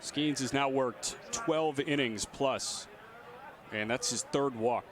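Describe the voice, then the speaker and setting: A man's voice: mid-range voice, takes it slowly, crowd noise. A man doing live radio play-by-play commentary of a baseball game. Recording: outdoor stadium ambience behind voice. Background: ballpark ambience.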